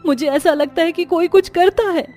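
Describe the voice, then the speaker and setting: A woman's voice: very high-pitched, moderate pace, faint music behind. A woman voicing a meek young daughter-in-law. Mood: pleading. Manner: soft, pleading, polite. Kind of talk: animated story dubbing.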